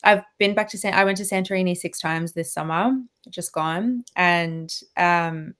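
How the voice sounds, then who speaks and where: Woman in her thirties, radio guest, chatty, laughs a lot, somewhat low pitch. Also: moderate pace, fairly bright tone, smooth. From Australia. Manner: chatty, laughs often, many restarts and fillers. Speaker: guest violinist. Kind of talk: casual radio interview.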